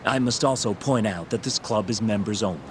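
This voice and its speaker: A man's voice: fairly low pitch, brisk, a little hiss or hum, close to an everyday voice. A man playing a formal, stiffly polite and suspicious club staffer in a video game cutscene. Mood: politely guarded.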